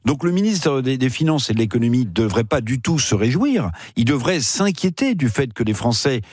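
Emphatic man with a lively voice. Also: medium pitch, moderate pace, slightly rough, dry recording, clean studio sound. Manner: emphatic, indignant. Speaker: radio commentator. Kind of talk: opinionated radio debate. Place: radio studio.

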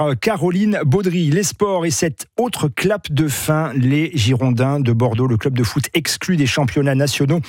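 A man presenting the evening radio news in a formal, measured way, with the odd hesitation, at a studio microphone. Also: mid-range voice, a little mellow, no echo. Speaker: news anchor. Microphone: dry studio microphone.

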